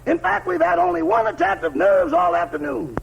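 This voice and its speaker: An actor playing a sly male valet who delivers deadpan punchlines in a gravelly, raspy voice, in 1950s radio comedy. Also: very high-pitched, a little fast, a little hiss or hum, exaggerated voice. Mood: dry, sly. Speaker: valet on a phone call. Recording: dull lo-fi old radio broadcast.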